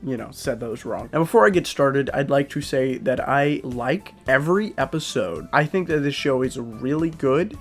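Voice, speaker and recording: A young man talking casually as a YouTube reviewer, rambling and poking fun at himself, with lots of fillers. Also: medium pitch. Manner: rambling, self-deprecating, many fillers. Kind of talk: casual vlog talk.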